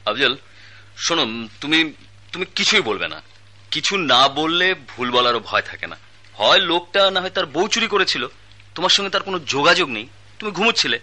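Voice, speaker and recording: A shrewd, dry lawyer. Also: male voice, a little high, normal speed, long pauses, exaggerated voice. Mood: coaching. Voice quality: calm, dryly ironic. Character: shrewd calm lawyer friend, dry and worldly. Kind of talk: radio play acting.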